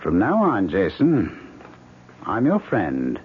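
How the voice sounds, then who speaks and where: An actor playing a smug male ghost in a radio drama, suave, mocking and insistent as he calmly schemes. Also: on the low side, takes it slowly, heavily acted. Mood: triumphant, smug. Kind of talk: 1970s radio drama acting. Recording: muffled vintage radio recording, hissy.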